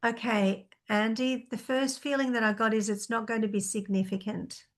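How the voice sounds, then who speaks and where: A woman's voice: a little high, fairly even intonation, normal speed. Hesitant woman giving psychic readings. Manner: tentative, thinking aloud with many fillers. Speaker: psychic reader. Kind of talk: answering viewer questions, reading them aloud.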